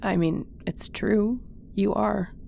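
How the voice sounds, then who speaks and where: A woman playing a caring space captain in a sci-fi audio roleplay, affectionate and gently teasing. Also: medium pitch, slow, gravelly, a little hiss or hum. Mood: tender, sincere.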